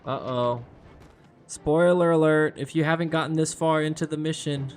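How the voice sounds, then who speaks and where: A young man, hoarse and losing his voice, chatting casually with viewers while streaming a game. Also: fairly high pitch, fairly even intonation, normal speed. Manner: chatty, talks to viewers by name. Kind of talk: casual live-stream chat.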